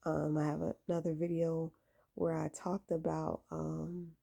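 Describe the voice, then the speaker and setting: Low, husky, gravelly young woman. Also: little pitch movement, a little slow, warm tone. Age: late 20s. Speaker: vlogger giving faith-based lifestyle advice. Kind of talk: casual talk to camera, exhorting.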